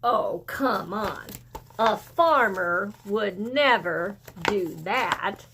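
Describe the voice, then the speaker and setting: A woman's voice: medium pitch, expressive, drawn-out words, background noise. A woman voicing an incredulous parent questioning a child in a picture book read-aloud, in a disbelieving manner.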